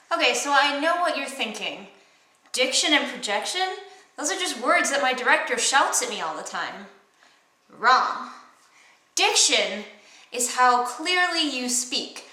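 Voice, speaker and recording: A young woman with a nasal Wisconsin accent teaching acting, enunciating clearly and animatedly, recorded on a camera mic in an echoey room. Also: on the high side, bright, forceful tone. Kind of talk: enthusiastic how-to vlog. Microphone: camera mic across the room. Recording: roomy echo from far camera.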